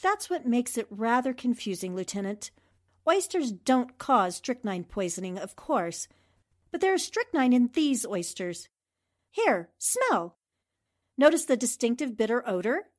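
A fairly high, animated female voice from an actress as a sharp newspaper reporter in a 1940s radio mystery. She sounds self-assured and composed, explaining what she observes. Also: measured pace. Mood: confident, explaining. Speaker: reporter investigating a murder. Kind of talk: 1940s radio drama acting.

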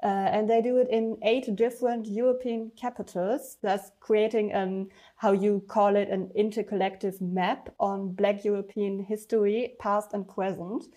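A woman podcast host with a voice of middling pitch. She reads her introduction smoothly and then puts questions to her guests with a few fillers. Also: flat delivery, measured pace, hardly any pauses, dark tone.

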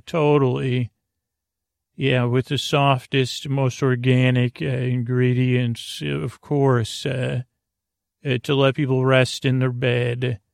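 A man with a creaky, fry-laden voice improvises a bedtime monologue as a podcast host. He speaks at a middle pitch, gently and soothingly, wandering, pausing and doubling back on his words. The sound is dry, with very little room. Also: no echo. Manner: meandering, halting, trailing off and repeating words; gentle, soothing address to the listener.

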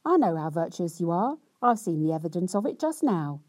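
A woman voicing a poor, weary, hard-working husband who grumbles in a gruff, exasperated way. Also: lowish voice, sing-song, fairly quick, a little mellow, no room sound.

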